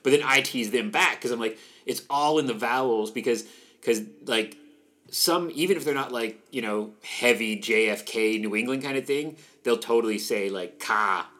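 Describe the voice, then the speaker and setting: A man with a Canadian accent chatting casually as a podcast co-host, animated and playfully nerdy. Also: medium pitch, moderate pace. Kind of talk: casual chat.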